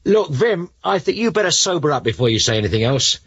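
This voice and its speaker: Gruff male inspector, animated. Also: on the high side, fast, highs cut off, heavily acted. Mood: firm. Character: gruff, weary, sarcastic senior British police inspector. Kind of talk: radio drama acting.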